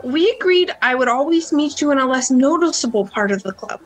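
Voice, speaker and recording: Woman acting an anxious, very high-voiced club girl. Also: expressive, fairly quick, rough recording. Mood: coaxing, furtive. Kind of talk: amateur radio play acting.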